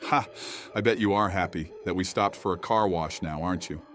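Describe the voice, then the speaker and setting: A man's voice: low-pitched, brisk, fairly bright tone. A man playing an obsessive husband in an old-time radio style horror audio drama. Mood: amused. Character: husband growing obsessed with messages from God, desperate.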